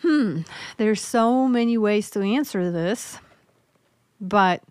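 A woman with a Polish accent talks frankly on a podcast, her voice smooth and clear. She jokes and thinks aloud, restarting sentences as she works through an answer. The sound is dry and close. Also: medium pitch, slow pace, darkish tone, no echo. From Poland. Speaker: podcast co-host, mental health nurse practitioner. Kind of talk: casual podcast chat.

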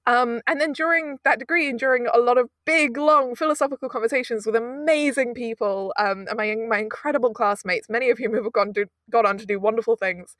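A young woman from Britain, an audio drama producer telling stories in a casual interview, animated and self-mocking with frequent laughs. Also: fairly high pitch, fairly quick, few pauses, no room sound, clean studio sound.

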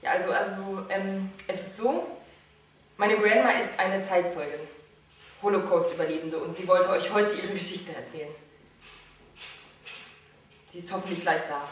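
A woman's voice: lowish voice, normal speed, long pauses, distant mic, slight background noise, natural voice. A woman playing a wry seventeen-year-old girl on an echoey stage. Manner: wry, chatty, addresses the audience with questions. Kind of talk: stage monologue to the audience.

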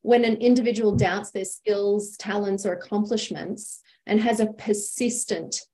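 A woman's voice: medium pitch, fairly even intonation, measured pace, mellow, low-quality recording. A woman hosting an interview, speaking conversationally and guiding the talk with questions. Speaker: host, interviewer.

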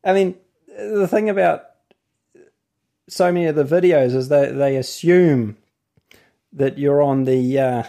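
A man's voice: fairly high pitch, unhurried, gravelly, pauses often, dark tone. A man with a New Zealand accent giving a casual explanatory talk as host and legal author, wandering into asides and then finding his thread again.

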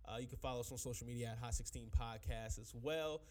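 A man's voice: mid-range voice, darkish tone. A young man hosting a hip-hop podcast in casual, slangy chat, a bit sick and sniffly. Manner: laid-back slangy talk, quick and loose. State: under the weather, sniffly. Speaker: podcast host.

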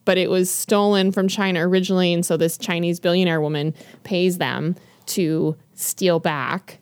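Woman podcast co-host, lower voice, chatty and rambling, dry close sound. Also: steady tone, moderate pace, darkish tone, clear, resonant tone, no room sound. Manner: chatty, rambling, many fillers and restarts. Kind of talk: casual chat about books.

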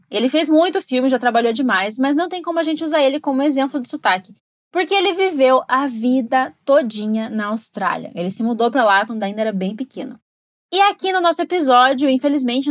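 A woman with a Brazilian Portuguese accent and a fairly high voice, recorded dry and close. She explains with enthusiasm, tossing questions to the listener as she goes. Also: dry recording. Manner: enthusiastic, explanatory, warm asides. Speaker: podcast host and English teacher. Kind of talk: scripted educational podcast narration.

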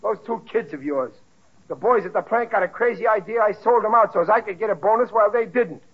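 An actor playing a blustering but good-hearted working-class family man in an old radio sitcom, plain-spoken and easily exasperated, with a rough voice. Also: sits high, fast talker. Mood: indignant. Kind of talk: 1940s radio sitcom acting. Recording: muffled old radio recording.

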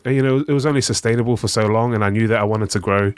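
A young man with a New Zealand accent, talking as a podcast guest in a relaxed, rambling way full of fillers. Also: lowish voice, rapid-fire, smooth, dry recording. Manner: relaxed, rambling, lots of "you know" and "um", says "bro". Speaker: guest, charity founder. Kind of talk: casual podcast conversation.

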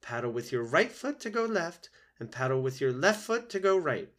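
Guy doing a high fussy old lady goose from a storybook, bossy but sweet, swoopy voice. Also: big pitch swings, normal speed, a bit bright. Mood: encouraging. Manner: high, lilting, motherly scolding.

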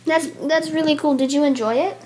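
A woman's voice: high voice, steady tone, brisk, darkish tone, a little hiss or hum. A young woman hosting her own casual podcast, chatty and eager, interviewing her grandmother with many ums. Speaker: podcast host.

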